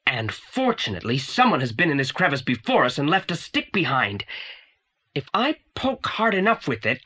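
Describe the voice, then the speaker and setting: A man's voice: a little high, huge pitch swings, normal speed, bright, forceful tone, husky, heavily acted. An actor playing a pompous, unflappable teenage boy detective in an audio drama, speaking in precise, formal, long-winded sentences. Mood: composed, determined.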